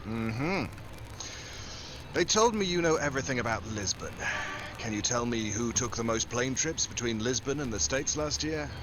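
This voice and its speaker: Man playing sardonic American spy, mid-pitched, lively intonation, old-time radio drama, noisy recording. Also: normal speed, lightly acted. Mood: wry, skeptical. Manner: wry, sardonic. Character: wry, tough male American secret agent investigating smuggling.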